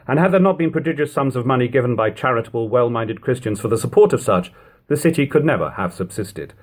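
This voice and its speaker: Man reading, lively rise and fall. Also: mid-range voice. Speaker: audiobook reader. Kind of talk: reading aloud an old historical narrative. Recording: lo-fi amateur home recording.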